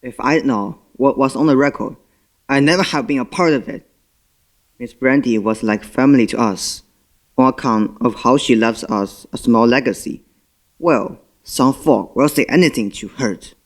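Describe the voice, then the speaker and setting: A man's voice: mid-range voice, moderate pace, lightly acted. A young man in an amateur stage play, acting a respectful but defensive household butler. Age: young adult. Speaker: student stage actor.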